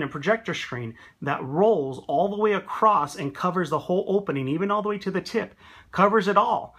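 A man giving a relaxed, chatty walkthrough of his tiny house build, talking into a handheld phone camera in a small wooden room. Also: a little high. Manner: relaxed, chatty, pointing things out. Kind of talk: casual walkthrough vlog.

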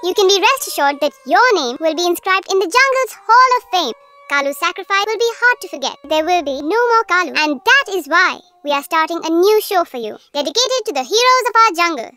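A woman's voice: very high-pitched, sing-song, fairly quick, sharp tone, no echo, full character voice. A woman voicing a little cartoon squirrel television reporter, earnest and gushing. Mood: grandly reverent. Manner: gushing presenter delivery.

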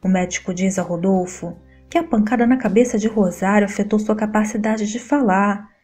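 Mellow woman narrating a recap. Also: medium pitch, fairly even intonation, a little fast, husky. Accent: Brazilian Portuguese. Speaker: soap opera recap narrator. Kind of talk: scripted plot summary narration. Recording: voice-over with faint guitar music behind.

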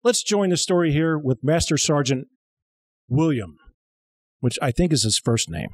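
A man's voice: on the high side, lively intonation, normal speed, no room sound. A man in his thirties co-hosting a humorous film commentary show with a dry, sarcastic manner. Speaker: comedy show co-host. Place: small studio. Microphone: close studio mic on boom arm.

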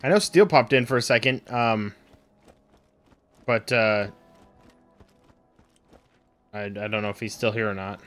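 A man in his thirties with a raspy, sore voice, rambling through casual live-stream commentary at home with lots of ums. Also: on the low side, unhurried, long pauses, a bit bright, soft background music. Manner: rambling, full of ums and uhs. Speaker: game streamer.

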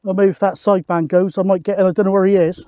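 A British man in his forties talks casually as an outdoor vlogger. His voice sits fairly high, and it is picked up by a camera in the open with some noise around it. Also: little pitch movement, fast talker, mellow. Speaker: vlogger, CB radio hobbyist. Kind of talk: casual outdoor vlog talk. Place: open foggy grassy hilltop, outdoors. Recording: noisy outdoor hilltop camera audio.

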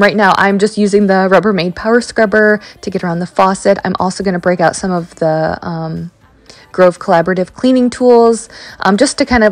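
A woman in her thirties talks casually to her viewers, rambling through a story with frequent ums and likes. Her voice sits a little low for a woman and sounds close and dry, with no room around it. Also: no echo. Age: 30s. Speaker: cleaning vlogger. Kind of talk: casual chat over cleaning footage. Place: carpeted home basement and small tiled bathroom.

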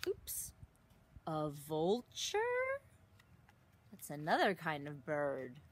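A woman in her thirties reading a picture book aloud to young children outdoors, expressively, with exaggerated gasps and drawn-out words. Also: low-pitched, lively intonation, husky. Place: outdoors in a leafy garden. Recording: outdoor recording with some ambient noise.

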